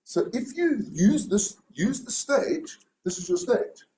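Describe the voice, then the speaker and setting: A man with a loud booming voice, giving rambling spoken feedback on a speech at a club meeting. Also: very animated, slow. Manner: rambling, many restarts and fillers. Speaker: speech evaluator. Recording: echoey room with faint hiss.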